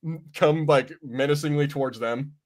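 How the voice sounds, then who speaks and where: A man's voice: fairly high pitch, moderate pace, a little mellow. A man hosting a casual review podcast, rambling informally and swearing freely as he chats.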